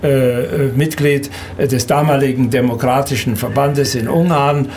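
An elderly man who grew up in Serbia and Hungary, recounting his life in an interview in a halting, hesitant way with repeated words. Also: mid-range voice, a little mellow, slight background noise. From Danube Swabian from Serbia and Hungary. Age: 70s or older. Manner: halting, hesitant, repeats words, often ends with a questioning tag.

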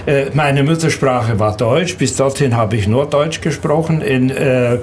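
An elderly man who grew up in Serbia and Hungary, recounting his life in an interview in a halting, hesitant way with repeated words. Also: medium pitch, a little hiss or hum. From Danube Swabian from Serbia and Hungary. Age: 70s or older. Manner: halting, hesitant, repeats words, often ends with a questioning tag.

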